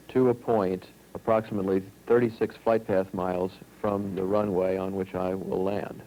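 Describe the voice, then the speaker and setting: American man as pilot, low and mellow, deliberate technical explanation, 1970s film sound. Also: a little hiss or hum, low-quality recording. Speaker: airline pilot. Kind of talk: prepared explanation.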